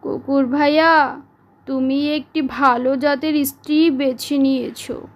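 A woman doing a slow, sorrowful donkey father. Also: high-pitched, lo-fi sound. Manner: slow, pleading, lamenting. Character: sad fretful male donkey father.

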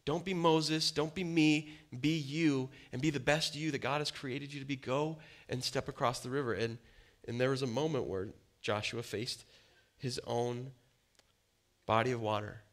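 Man, thirties, pastor, conversational sermon, lively gestures, stage in church hall, mid pitch. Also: moderate pace. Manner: conversational, animated preaching with gestures. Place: dim church hall with a stage, audience in front.

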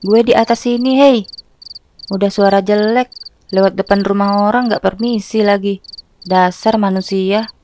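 A woman's voice: medium pitch, fairly even intonation, moderate pace, a little mellow, slight background noise, natural voice. A woman voicing a sassy, grumpy female ghost in a comedic cartoon, with music and night insects behind her. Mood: annoyed, scolding. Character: sassy, grumpy female long-haired ghost, flirty and vengeful.

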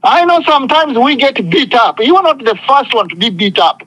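A man's voice: very high-pitched, very animated, a little fast, pushed and bright, no echo, low-quality recording. A man preaching an impassioned sermon, speaking emphatically with repetition and often calling for responses from listeners. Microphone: phone conference call.

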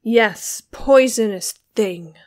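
A woman's voice: mid-range voice, steady tone, unhurried, darkish tone, lightly acted. A woman reading an audiobook, voicing an enraged, scornful young man with bitter, archaic, declamatory speech. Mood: venomous rage. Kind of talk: dramatic literary audiobook reading.

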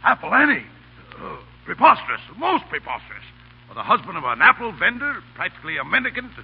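A man's voice: high voice, wildly swooping pitch, quick pace, creaky, husky, full character voice. Pompous, swooping old-time con man. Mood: indignant. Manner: grandiloquent, mock-dignified, hemming and hawing. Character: pompous, florid male con man posing as judge. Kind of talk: 1930s radio comedy acting. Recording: muffled, hissy, reverberant 1930s radio broadcast.

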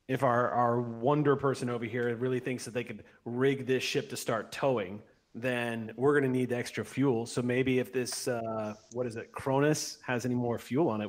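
A man's voice: medium pitch, natural voice. A man playing a casual, foul-mouthed crewman on a space freighter in an online roleplay, blunt and informal. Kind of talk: improvised online tabletop roleplay.

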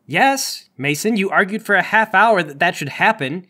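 A man's voice: high voice, expressive, moderate pace, bright, forceful tone. A man running a tabletop roleplaying game, narrating and bantering in an exasperated, sarcastic way. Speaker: game master.

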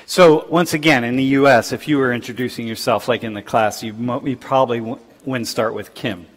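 Older male lecturer, casual and chatty, long hall reverb, mid-pitched voice. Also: brisk. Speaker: professor. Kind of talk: lecture with class interaction.

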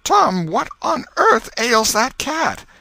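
Man doing a scolding old country aunt, lively. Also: very high voice, slow, creaky, no room sound, voice pushed up, full character voice. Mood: suspicious. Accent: rustic American. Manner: exasperated, folksy. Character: scolding but soft-hearted old aunt in spectacles.

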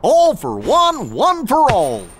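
A dopey cartoon dog. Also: male voice, very high-pitched, huge pitch swings, takes it slowly, slight background noise, full character voice. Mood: friendly, dopey. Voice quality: dopey, drawling. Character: goofy, friendly cartoon dog knight.

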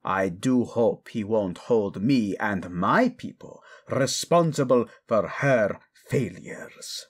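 Male actor as cold royal patriarch, low-pitched, husky, insinuating, falsely courteous, theatrical audio drama. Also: lively intonation, slow, full character voice. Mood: mocking, needling. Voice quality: gravelly, husky. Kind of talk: dramatic audio roleplay acting.

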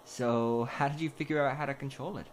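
A man playing an affectionate, teasing pony sweetheart in animated fan drama dialogue. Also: medium pitch, a little fast, lightly acted. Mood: curious. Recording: faint background noise.

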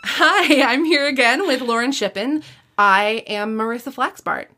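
A woman's voice: fairly high pitch, measured pace, over music. A woman hosting a podcast, chatting casually about books and shows. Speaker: podcast host.

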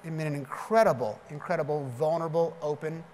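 A man's voice: a little high, fairly even intonation, fast, a little mellow. A man in his fifties giving a conference keynote from a lectern, telling punchy, self-deprecating stories. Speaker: keynote speaker. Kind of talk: conference keynote with jokes and anecdotes. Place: large conference hall stage at a lectern. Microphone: lectern microphone. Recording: long hall reverb, faint background noise.

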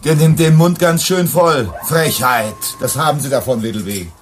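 A man's voice: mid-range voice, moderate pace, music in the background, lightly acted. An actor playing an indignant, skeptical man of authority in a radio play, with a dismissive manner. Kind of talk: German radio play acting.

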